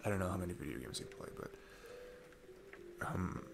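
A man's voice: low voice, expressive, normal speed, creaky, background noise. A man playing a sweet, earnest, geeky single dad on a date, rambling and hesitant in an intimate ASMR roleplay. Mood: enthusiastic, rambling.

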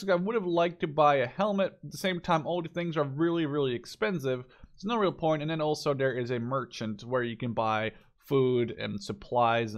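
Man, slightly high voice, game commentary, casual, unscripted, thinking aloud. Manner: informal, thinking aloud as he goes. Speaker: gameplay video host.

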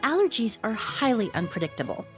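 A woman's voice: a little high, very animated, fairly quick, faint music behind. A woman voicing a poised, courteous young perfumer in a video game, speaking in formal, articulate phrases. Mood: modest, composed. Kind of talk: English video game voice acting.

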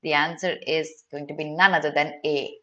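Young female exam tutor, Indian accent, bright forceful tone, rapid emphatic explaining, code-switches. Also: sits low, fairly even intonation, dull audio. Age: young adult. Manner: mixes Hindi and English, addressing students directly. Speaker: exam prep teacher. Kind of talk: online lesson explaining answer options to students.

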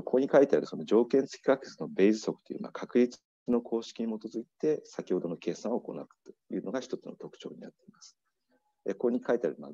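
A middle-aged man with a very low, mellow voice delivers an academic keynote over slides, pausing often and filling gaps with hesitation sounds. The sound is dry and a little dull, like a remote talk recorded at a desk. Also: slightly rough, no room sound. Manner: measured, frequent fillers and pauses. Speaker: keynote speaker. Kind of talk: academic conference lecture. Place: indoor room, video talk over slides.

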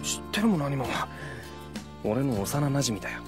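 A woman voicing a hopeful, easily flustered teenage boy in a casual, expressive audio drama style. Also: very deep voice, normal speed, music in the background. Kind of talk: scripted Japanese anime-style audio drama acting.

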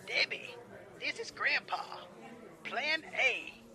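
A man voicing an elderly grandfather, a superhero's techie sidekick, in a high, strained, husky voice for an audiobook. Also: big pitch swings, slow pace, very noisy, heavily acted. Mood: urgent.

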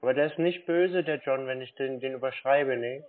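A man in a casual phone conversation, talking in a naive, slow-witted, stalling way like a confused customer. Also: mid-range voice. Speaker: prank caller posing as a naive customer. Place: phone call. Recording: tinny band-limited phone line.

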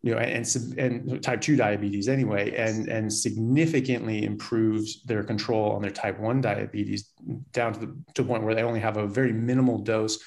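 A man's voice: lowish voice, steady tone, normal speed, darkish tone. A man in his forties, a doctor guest on a casual interview, talking over a video call from a small plain room and often repeating words as he starts a thought. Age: 40s.

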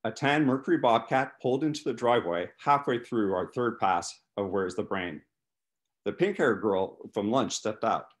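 A man in his fifties doing an animated first-person reading from his novel over a video call. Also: fairly low pitch, normal speed. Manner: animated, acts out dialogue. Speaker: author reading from own novel. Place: small living room, indoors. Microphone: video call, computer microphone.

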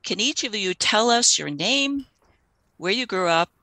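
A woman's voice: medium pitch, lively intonation, a little slow, bright, forceful tone, dry recording. A woman in her sixties hosts a video-call session from her living room, reading a friendly introduction and fumbling a little with the screen. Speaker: host of a folk music society session. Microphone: headset mic on a video call.

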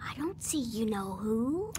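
A woman's voice: on the high side, very animated, unhurried, darkish tone, slight background noise. An actress playing a timid ten-year-old girl in a radio drama, hesitant and pleading. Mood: questioning, hurt.

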